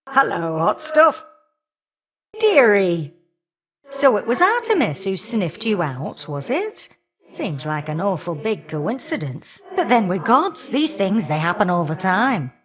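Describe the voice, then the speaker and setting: A woman's voice: mid-range voice, a bit bright. A woman voicing a feisty, folksy elder goddess of flame in a video game, sassy and affectionately teasing. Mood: punchy, confident, then concerned, musing. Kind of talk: video game character dialogue.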